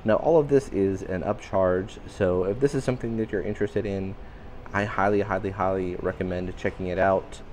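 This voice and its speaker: A man giving an upbeat walking tour of a cruise ship, his voice a little raspy today. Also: sits low, steady tone, moderate pace, darkish tone, a little hiss or hum. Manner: upbeat, enthusiastic guiding. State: slightly raspy voice. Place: indoor public areas of a cruise ship.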